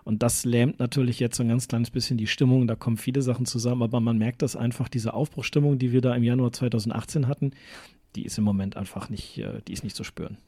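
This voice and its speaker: Westphalian-born male co-host, mellow and dry-sounding, hesitant and rambling. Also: mid-range voice, fairly even intonation, fast, slightly rough, no room sound. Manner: rambling, hesitant, frequent tag questions. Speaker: podcast co-host. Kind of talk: casual chat.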